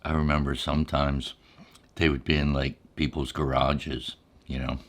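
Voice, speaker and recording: Very deep-voiced American man, low and even. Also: normal speed. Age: middle-aged or older. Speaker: ASMR storyteller. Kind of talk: unscripted ASMR storytelling, casual reminiscing.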